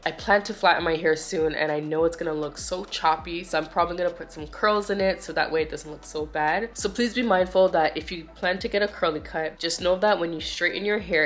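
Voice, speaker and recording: Low-voiced woman, chatty vlog, slightly nasal. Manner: chatty, explanatory, addresses viewers as you guys. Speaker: beauty vlogger. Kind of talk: casual vlog voice-over.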